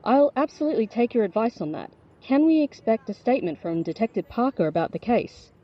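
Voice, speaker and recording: A woman's voice: on the high side, very animated, a little fast. Audio drama: actress for polite, eager female news reporter, emphatic broadcast manner, slightly lo-fi sound. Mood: curious, polite.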